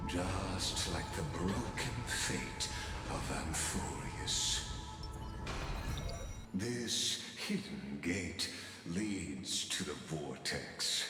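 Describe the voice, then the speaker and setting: An actor playing an ancient imprisoned male divine being in a video game, menacing and smugly patient, with a theatrical, deliberate, taunting delivery. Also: a bass voice, exaggerated voice. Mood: solemn, commanding. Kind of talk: dramatic video game voice acting. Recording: very echoey hall reverb under music.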